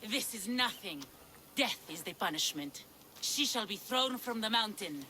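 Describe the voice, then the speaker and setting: A woman's voice: mid-range voice, animated, a little slow, bright, forceful tone, a little hiss or hum. A woman playing a haughty, severe ancient Greek priestess in a video game, speaking formally. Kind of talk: video game character acting.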